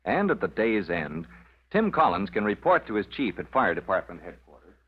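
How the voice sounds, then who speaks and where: A man's voice: on the low side, very animated, moderate pace, slightly rough. A man announcing and narrating an old radio serial in a dramatic scripted style. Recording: muffled vintage radio recording.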